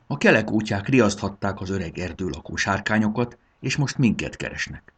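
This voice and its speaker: Young storybook dragon, male reader acting: loyal, earnest, slightly rough voice with lively pitch movement. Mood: anxious. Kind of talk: dramatized children's storybook reading.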